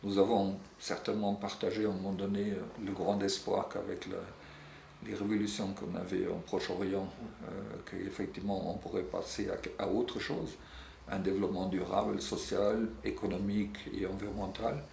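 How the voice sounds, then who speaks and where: A middle-aged man answering interview questions earnestly, often pausing on euh and restarting his sentences. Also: low voice, flat delivery, creaky, darkish tone. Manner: earnest, searching for words with frequent euh and restarts. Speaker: secretary general of a European civil society network. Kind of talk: interview answers on European social policy. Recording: echoey room with faint background noise.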